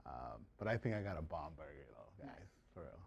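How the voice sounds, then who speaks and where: A man's voice: a bass voice, very animated, fairly quick, gravelly, breathy edge, dull audio. A man in his early forties, a chef, talking casually and explaining his cooking as a contestant. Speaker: restaurant owner and chef, contestant. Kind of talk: casual talk and cooking demonstration.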